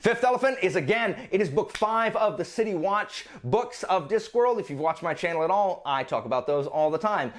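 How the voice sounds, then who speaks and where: A man with a fairly high voice and very lively, swooping intonation. He chats casually like an enthusiastic book vlogger, leaning on words and repeating them for emphasis. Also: a little fast, few pauses. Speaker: book reviewer presenting a monthly reading list on his channel. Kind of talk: casual, chatty vlog talk to viewers.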